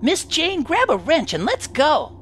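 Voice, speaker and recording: Woman playing an excitable gee-whiz boy space scout. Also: high-pitched, unhurried, over music, heavily acted. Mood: earnest. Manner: gee-whiz, wide-eyed, eager. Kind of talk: parody of old-time radio serial.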